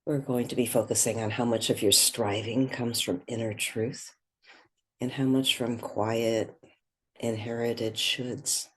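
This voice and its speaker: Slow, husky woman leading meditation. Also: rumbling low, fairly even intonation. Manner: gentle, measured, with long pauses between phrases. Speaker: guided meditation leader. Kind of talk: guided meditation.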